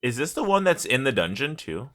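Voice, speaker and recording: A man's voice: medium pitch, big pitch swings, brisk, bright, forceful tone. A man co-hosting a podcast in casual comedic banter, teasing and swearing freely. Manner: teasing, profane. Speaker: podcast co-host.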